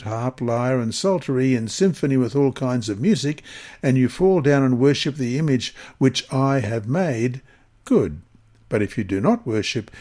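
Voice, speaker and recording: A man reads a Bible lesson aloud. His delivery is solemn and measured, with deliberate phrasing, in a voice of medium pitch. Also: darkish tone. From Queensland, Australia. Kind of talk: scripted scripture reading and narration.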